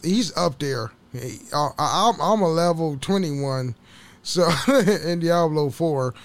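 A man with a somewhat high-pitched voice chats alone into a home mic, loose and rambling. He curses freely and laughs often, and his voice carries a slight hoarseness. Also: slow pace. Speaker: podcast host. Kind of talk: casual solo podcast chat.

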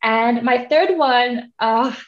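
A woman's voice: on the high side, takes it slowly, lo-fi sound. Giggly young woman with Hong Kong accent. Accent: Hong Kong English. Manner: giggly, chatty, lots of "like". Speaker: podcast guest. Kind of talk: casual interview chat.

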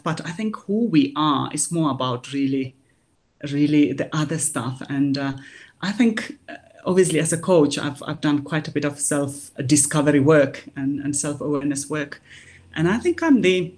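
A woman's voice: low voice, a little mellow. Reflective woman coach. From Finland. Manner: reflective, thinks aloud with pauses. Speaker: life coach, guest. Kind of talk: interview answers.

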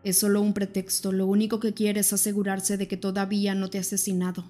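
A woman narrating voices a protective, tense young male vampire husband, speaking in a low, urgent murmur that turns cold. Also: completely flat delivery, fast talker, warm tone, soft background music, close to an everyday voice.

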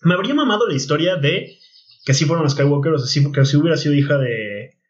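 A young man with a Mexican Spanish accent, co-hosting a podcast in casual chat, animated and slangy, often restarting his sentences. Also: fairly high pitch, quick pace. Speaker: podcast co-host. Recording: dull lo-fi podcast audio.

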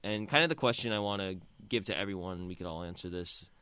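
Young man, bright crisp voice, orderly casual sports talk, dry recording. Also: deep voice, quick pace, no echo. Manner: organized, makes points in order. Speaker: co-host.

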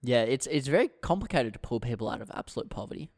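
Wry young podcast co-host. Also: male voice, medium pitch, expressive, fast talker, creaky, a little mellow, no echo. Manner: dry, wry asides. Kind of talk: casual political chat.

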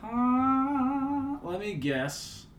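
A man co-hosting a casual podcast, joking and banter-driven, with a southern US accent, mumbling one moment and loud the next. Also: extremely high pitch, very animated, very slow, slight background noise. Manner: playful, jumps between mumbling and loud exclaiming. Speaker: podcast co-host. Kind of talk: casual chat.

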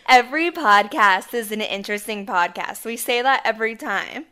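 A woman in her twenties co-hosting a podcast, a bit hoarse from losing her voice, telling stories in a chatty way and laughing often. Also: fairly high pitch, normal speed, bright, forceful tone. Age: 20s. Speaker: podcast co-host. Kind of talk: casual chat.

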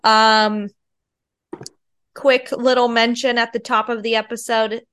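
A woman's voice: fairly high pitch, level tone, slow, a bit bright, clean tone. A woman in her thirties co-hosting a podcast, nasal and sick with a cold, chatting in a confiding storyteller way. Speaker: podcast co-host. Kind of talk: casual chat.

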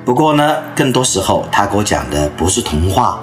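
Animated male storyteller giving a lecture. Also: fairly low pitch, fairly quick, soft background music. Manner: lively storyteller who acts out anecdotes.

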